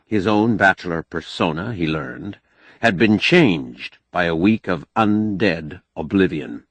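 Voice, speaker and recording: Male fiction narrator: unhurried, expressive storytelling, slightly low husky voice, close dry sound. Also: dry recording. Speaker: audiobook narrator.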